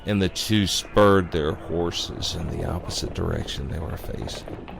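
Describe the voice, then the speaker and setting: Casting: male narrator for a scary tale, slow, gravelly, theatrical and ominous. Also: low-pitched, steady tone, heavy background noise. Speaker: storyteller. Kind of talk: dramatic scripted horror narration. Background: music.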